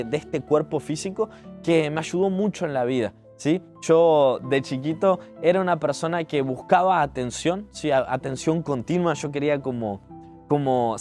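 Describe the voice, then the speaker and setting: Young Uruguayan man, higher-pitched voice, music behind. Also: darkish tone. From Montevideo, Uruguay. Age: 20s. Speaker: digital entrepreneur telling their life story. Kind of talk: personal storytelling vlog, spoken to camera.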